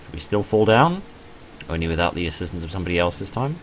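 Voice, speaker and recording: A man's voice: low-pitched, sing-song, fast talker, faint music behind. A man reading visual novel game text aloud, mixed with casual, self-deprecating commentary.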